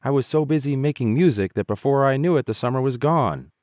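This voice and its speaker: A man's voice: mid-range voice, fairly quick, darkish tone, no echo, studio quality, pitched above the performer's own voice, natural voice. A man voicing a starving male grasshopper musician in a storybook reading, whining.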